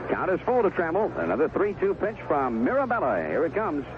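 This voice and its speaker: Through a thin, noisy old AM broadcast comes an American man calling a baseball game. His voice sits fairly high and rises and falls with lively intonation. He delivers each pitch in short, clipped phrases, leaving easy pauses between them. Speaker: baseball play-by-play radio announcer. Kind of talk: live baseball radio commentary. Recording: tinny, noisy 1970s AM radio broadcast.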